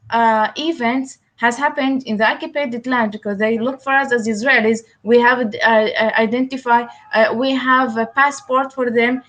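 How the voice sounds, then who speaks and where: A woman with a high voice speaks English as a second language, her first being Arabic. She answers interview questions haltingly and keeps stopping to search for words. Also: a bit bright. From Palestine. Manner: halting, many fillers and restarts. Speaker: guest.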